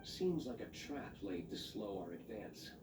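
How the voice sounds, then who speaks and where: A very deep-voiced, stern game fighter, talks curtly and dryly. He sounds wary and unimpressed, recorded from a distance with noise behind. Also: expressive, measured pace. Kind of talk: scripted video game acting. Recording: distant, echoey, noisy game playback.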